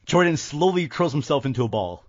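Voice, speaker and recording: Podcast host-narrator, male: lively intonation, dramatic scripted delivery, slightly muffled recording. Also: a little high, a little fast.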